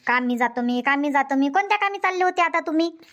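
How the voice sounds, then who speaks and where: A very high-pitched cartoon housewife, acted by a woman, nags with an aggrieved air. She has a Varhadi Marathi village accent and scolds in repeated, complaining runs. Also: lively intonation, fast talker, fairly bright tone, lo-fi sound. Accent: Varhadi Marathi (Vidarbha). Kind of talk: Marathi comedy cartoon dubbing.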